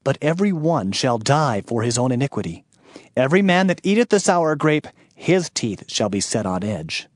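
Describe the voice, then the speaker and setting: A man's voice: mid-range voice, sing-song, moderate pace, no echo, studio quality. A man narrating a dramatized scripture audiobook in a solemn, formal, declamatory manner, with music behind him.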